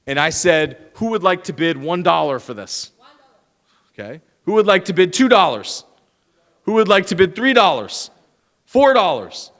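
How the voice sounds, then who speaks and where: A man giving a lively, teasing lecture to students in a large hall, speaking into a handheld microphone. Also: high voice, moderate pace. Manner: lively, teasing, talks straight to the audience. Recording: long hall reverb.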